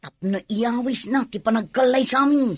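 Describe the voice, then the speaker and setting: A woman's voice: a little high, moderate pace, slightly rough, no echo, clean studio sound. A woman with an Ilocano accent playing a cheeky, blunt, scheming young tomboy in a radio drama. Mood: plotting.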